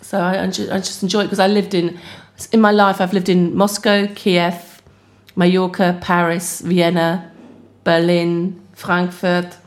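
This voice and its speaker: A woman from Britain, a stand-up comedian, chatting fast and laughing easily as a podcast guest. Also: on the low side, flat delivery. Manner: fast-talking, quick laughs. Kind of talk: casual interview chat.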